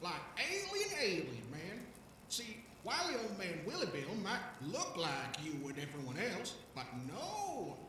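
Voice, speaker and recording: A man playing an excitable, folksy old alien vagrant in an audio drama, rambling and stammering in a rough, coarse voice. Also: high-pitched, heavy reverb, distant mic, a little hiss or hum, exaggerated voice, low-quality recording. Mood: rambling, suspicious. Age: older. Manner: folksy, rambling, stammering, talks of himself in third person. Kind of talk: audio drama character acting.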